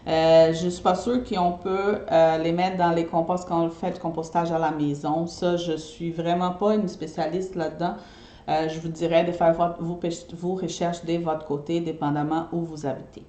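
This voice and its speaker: A woman's voice: lowish voice, fairly even intonation, normal speed, dark tone, echoey room. A woman from Brazil whose first language is Portuguese, speaking French with a mixed Brazilian and Québécois accent. She chats casually to her viewers in a live video, often checking in with them by asking "ok?". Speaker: eco-friendly party decorator.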